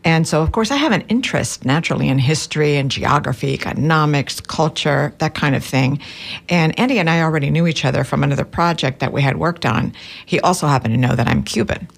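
A woman's voice: sits low, a little fast, gravelly. A woman in her sixties from Cuba and Miami, a professor answering interview questions in a conversational style. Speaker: professor and co-author guest.

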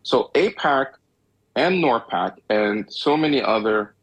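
A man's voice: mid-range voice, animated, unhurried, poor audio. A man from Egypt co-hosting a talk radio show, discussing politics in a rambling way with frequent restarts and wry chuckles.

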